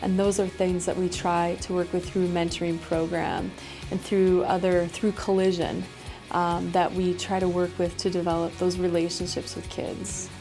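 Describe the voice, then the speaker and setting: A middle-aged woman gives a casual interview as a school principal. Her voice sits on the low side with a frequent creak, and her intonation stays very even. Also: moderate pace, hardly any pauses, faint music behind.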